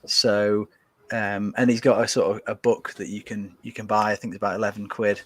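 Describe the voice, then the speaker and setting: Male podcast guest, low pitch, dry close sound, rambling casual delivery. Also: level tone, a little fast, a bit bright, no echo. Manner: rambling, hesitant, lots of fillers and restarts. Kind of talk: casual chat.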